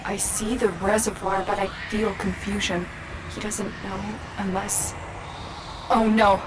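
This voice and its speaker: A woman's voice: medium pitch, flat delivery, close to an everyday voice. Woman playing a grave commanding guide, background noise. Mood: alarmed. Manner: solemn, measured, urgent in danger. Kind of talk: video game character acting.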